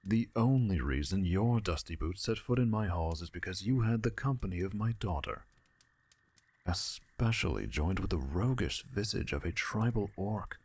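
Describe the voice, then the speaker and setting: A man's voice: deep voice, animated, moderate pace, husky, dry recording, exaggerated voice. A man playing a stern, haughty owl lord and father in an audio drama, speaking in a formal, imperious manner. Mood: disdainful.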